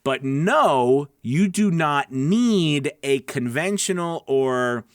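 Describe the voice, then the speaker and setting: A man's voice: a little high, expressive, slow, no room sound. Man hosting an advice vlog, dry sound. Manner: conversational, emphatic, checks in with "right?" and "you know". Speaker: host of a voice-over advice show. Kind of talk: instructional vlog talk to viewers.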